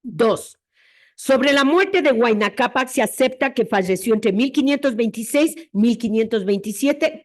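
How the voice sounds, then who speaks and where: Woman giving an academic lecture. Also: on the high side. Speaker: academic presenter at a history workshop.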